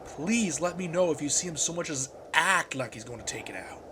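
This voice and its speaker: A man acts out an earnest father in charge of a survivor camp in a comic dub. His voice sits quite high, and he talks firmly and reassuringly. There is clear background noise behind him. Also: animated, fairly quick, lightly acted. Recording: noticeable background ambience noise.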